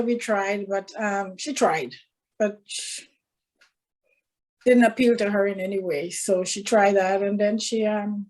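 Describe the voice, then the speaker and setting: An older woman from Trinidad with a Trinidadian accent, answering interview questions in a soft, hesitant way with many pauses. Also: mid-range voice, low-quality recording. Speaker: interviewee, mother of a footballer. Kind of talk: casual interview.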